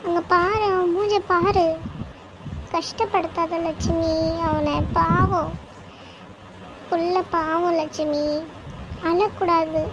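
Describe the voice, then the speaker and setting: Casting: shrill coaxing aunt, a woman doing the voice, pleading and scolding at once, high pitched. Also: steady tone, slow, long pauses, dull audio, background noise. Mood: coaxing, chiding. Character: consoling village aunt scolding a crying mother.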